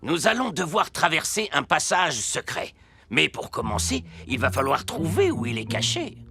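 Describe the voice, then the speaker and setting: A ceremonious cartoon castle guide, proud and showy. The delivery swings in pitch with a creaky edge, and music plays behind. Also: male voice, very animated, moderate pace, pushed and bright, heavily acted. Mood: proud, formal. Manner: ceremonious, showman-like. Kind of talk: dubbed children's cartoon dialogue.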